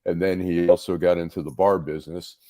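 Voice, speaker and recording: Middle-aged detroit-area guy on a podcast, deep warm voice, rambles with lots of restarts. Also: fairly even intonation, fairly quick, no echo. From Detroit area, Michigan. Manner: rambling, many restarts and fillers. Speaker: co-host. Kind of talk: casual podcast chat.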